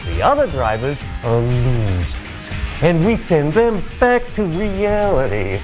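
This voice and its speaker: An actor playing a smarmy, gleefully sadistic host of a futuristic TV race show, theatrical, mock-cheerful and sardonic. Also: male voice, fairly high pitch, huge pitch swings, takes it slowly, darkish tone, soft background music, exaggerated voice. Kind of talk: theatrical game show presenting.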